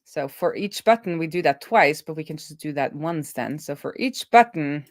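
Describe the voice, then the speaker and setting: A woman's voice: deep voice, expressive, no echo, studio quality. A woman developer casually thinking aloud as she codes, often breaking off mid-thought and correcting herself. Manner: halting, trailing off mid-thought and self-correcting. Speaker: developer hosting a live coding stream.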